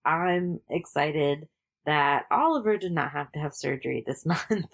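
A woman co-hosting a casual podcast, a little congested, chatting in a relaxed and easygoing way. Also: sits low, unhurried, vocal fry. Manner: relaxed, chatty, laughs easily. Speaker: podcast co-host. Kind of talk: casual chat.

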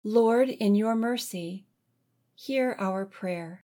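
A woman leading a congregation in prayer with gentle, deliberate phrasing. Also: medium pitch. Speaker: music director leading prayer. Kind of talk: led liturgical prayer.

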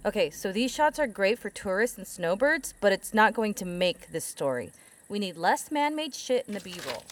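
A woman's voice: mid-range voice, sing-song, moderate pace, natural voice. A woman playing a brash, eager, foul-mouthed young investigator in an audio drama, with a slangy, sarcastic, pushy delivery. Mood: persuasive. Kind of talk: full-cast audio drama acting. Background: music.

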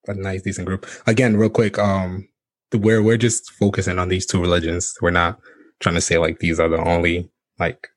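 Deep-voiced man hosting a casual chat. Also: no echo. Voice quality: relaxed, filler-heavy, laughs often. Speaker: podcast host.

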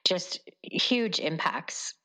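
A woman's voice: on the low side, animated, very slow, sharp tone, poor audio. A Canadian woman journalist talking as a guest in a casual interview, earnest and reflective, laughing often.

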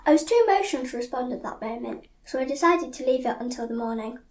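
Woman as a high-voiced grieving mother. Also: animated, fast talker, darkish tone. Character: distressed, grieving mother of a lost boy. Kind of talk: community audio drama acting.

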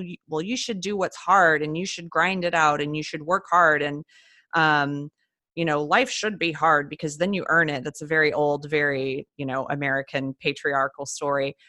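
A woman hosts a relaxed conversation in a bright, lowish voice. She reflects aloud and asks open questions. The recording is dry and close. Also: dry recording. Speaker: host. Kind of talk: casual interview chat.

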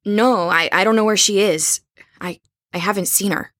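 An actress plays a young woman in an audio drama who is worried and resolute. Her medium-pitched voice is recorded dry. She speaks directly and insistently. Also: normal speed, a bit bright, dry recording, natural voice. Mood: anxious. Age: young adult.

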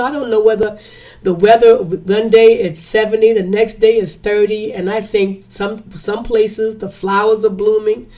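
A woman's voice: on the high side, a little mellow. Woman host, stuffy sinus voice, chatty, preacherly repetition. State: congested, slightly hoarse. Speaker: podcast host. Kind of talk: casual podcast intro.

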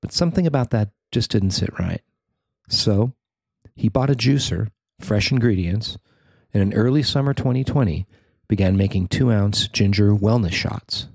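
A man narrating a newspaper feature he wrote, in a measured, even reading. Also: on the low side, gravelly, no room sound. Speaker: newspaper columnist reading his story. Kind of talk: scripted narration.

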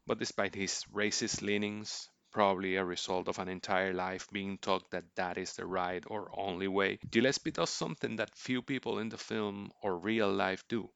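A man hosts a film review in a low register with a gravelly edge. His delivery is measured and earnest, reading prepared commentary, and the sound is very dry. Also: fairly even intonation, a bit bright, dry recording, dull audio. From Puerto Rico. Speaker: film podcast host. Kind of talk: scripted film review narration.

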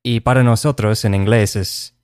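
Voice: medium pitch, fairly even intonation, moderate pace, darkish tone, smooth, no room sound, studio quality. A co-host in casual conversation, agreeable, asking questions that move the talk along.

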